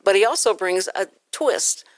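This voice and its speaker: A woman's voice: medium pitch, lively intonation, normal speed, no room sound. A woman from Houston, Texas, hosting a casual radio interview in an effusive, rambling, anecdotal way. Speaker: talk show host. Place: radio studio.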